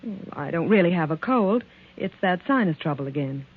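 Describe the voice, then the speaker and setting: A woman's voice: on the low side, lively intonation, a little fast, slightly rough, narrow, tinny audio. An actress playing a guarded, evasive working woman, politely cool, in a 1940s radio drama. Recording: band-limited old 1940s radio recording.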